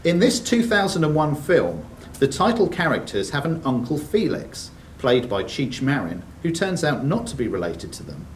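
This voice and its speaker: A man's voice: fairly high pitch, big pitch swings, normal speed, few pauses. Male quiz master in a roomy space. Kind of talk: reading quiz questions aloud to contestants. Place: indoors in a club room, mic at a distance. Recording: roomy hall, distant mic, faint noise.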